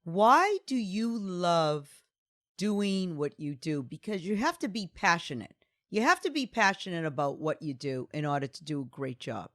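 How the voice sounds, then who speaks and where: A woman's voice: fairly low pitch, very animated, moderate pace, a bit bright. A woman hosting a talk podcast, upbeat and effusive as she introduces and questions her guest. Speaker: podcast host. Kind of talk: enthusiastic host interview.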